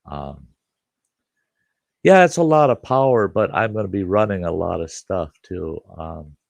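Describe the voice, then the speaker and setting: A slow-talking older man hosts a casual live chat, sounding congested and chuckling as he rambles. His voice is very low and gravelly, recorded close and dry. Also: no room sound. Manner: relaxed, rambling, chuckles often, greets viewers by name. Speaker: livestream host.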